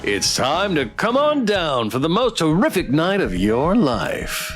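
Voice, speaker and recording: Guy doing a showy monster host from a spooky game, teasing, really animated and a bit high. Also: measured pace, soft background music, heavily acted. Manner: showy, teasing presenter delivery. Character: flamboyant male monster show host, gleefully teasing. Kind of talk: visual novel read-aloud.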